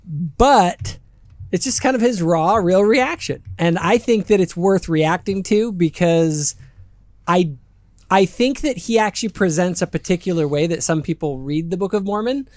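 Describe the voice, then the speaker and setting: A man's voice: high voice, a little slow, a little mellow, dry recording. A man hosting a reaction show, moving between scripted introduction and casual chat with friends. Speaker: channel host.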